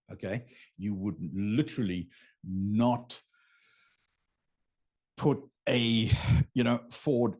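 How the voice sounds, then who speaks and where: A man whose native language is German, with a husky voice, teaching business in an informal, emphatic, unscripted way and often checking in with the listener. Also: fairly low pitch, a little mellow. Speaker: business coach hosting a live session. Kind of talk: unscripted teaching talk with drawn illustrations.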